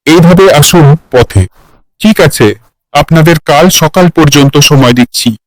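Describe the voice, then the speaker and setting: A man's voice: on the high side, a little slow, no room sound, lightly acted, rough recording. A man voicing a resentful, threatening, bloodthirsty male ghost in a cartoon fairy tale. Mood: menacing. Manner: threatening, demanding.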